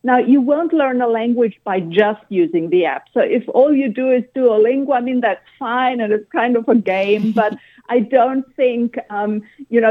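A woman from Germany with a German accent, answering radio interview questions in a thoughtful, explanatory way with frequent pauses and ums. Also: a little high. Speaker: guest expert on language learning. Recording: phone-line interview audio.

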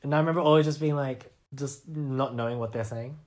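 A man's voice: mid-range voice, fairly even intonation, fairly quick, a little mellow. Young adult male interviewee, Australian accent, mid-range voice. Loose, conversational, self-deprecating delivery. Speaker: interviewee, artist.

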